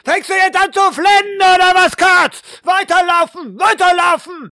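Male actor as military drill instructor, contemptuous, taunting, forceful bark, raised pitch, dry audio drama. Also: measured pace, no room sound, exaggerated voice. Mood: barking, mocking. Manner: barking, taunting, insulting.

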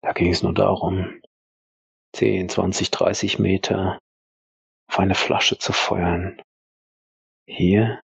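A man narrating an audio drama in a dramatic scripted style, husky and gravelly, with terse, weighty phrases and pauses. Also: deep voice, little pitch movement, no room sound.

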